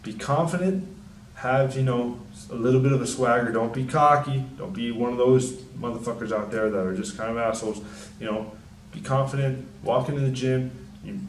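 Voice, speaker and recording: A young man, a personal trainer, giving casual, rambling fitness advice in a deep, soft-spoken, mumbling voice, swearing freely. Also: moderate pace, echoey room, far from the mic, a little hiss or hum, poor audio. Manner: laid-back, rambling, frequent swearing and fillers. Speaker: personal trainer and channel host.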